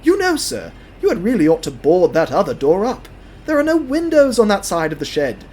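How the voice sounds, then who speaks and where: A man's voice: sits high, wildly swooping pitch, brisk, a little mellow, slight background noise, pitched above the performer's own voice. A man voicing a vain, chatty young steam engine in an audio drama, with a bubbly, grandiose and theatrical manner. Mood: preening, demanding. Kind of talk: audio drama character acting.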